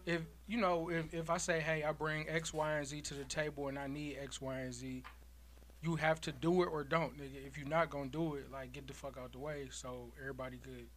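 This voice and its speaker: A man in his thirties telling a story in casual group chat, animated and laughing often. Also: on the high side, fairly quick, slight background noise. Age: 30s.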